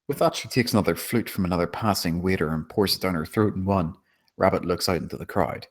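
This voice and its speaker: A man's voice: sits low, quick pace. A man narrating a screenplay, reading out stage directions at a table read.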